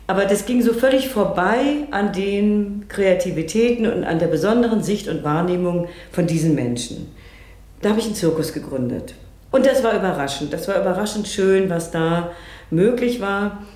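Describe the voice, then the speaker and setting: A woman's voice: medium pitch, animated, normal speed, dark tone, reverberant. A middle-aged woman from East Germany explaining her work thoughtfully, as in a documentary interview. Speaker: founder of an inclusive theater group.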